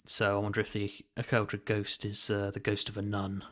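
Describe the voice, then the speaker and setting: A man speaks in a low voice that hardly moves in pitch at all. He chats informally as a co-host, steering the conversation. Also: completely flat delivery, fairly quick. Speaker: podcast co-host. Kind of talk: casual chat.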